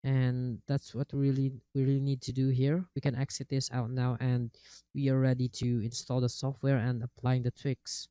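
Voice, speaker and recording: A man walks through a software tutorial in a mellow, relaxed voice. His sound is smooth and close, with very little room to it. The phrasing is casual and a bit halting as he moves from step to step. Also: medium pitch, no room sound. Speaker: tutorial host. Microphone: cheap desktop microphone with software processing.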